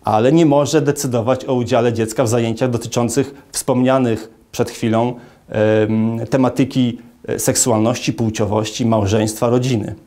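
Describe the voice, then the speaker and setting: A man in his thirties, a lawyer, giving a formal statement to camera in an office, speaking in a measured way with frequent hesitation fillers. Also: mid-range voice, fairly even intonation, warm tone. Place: indoor office with large arched window.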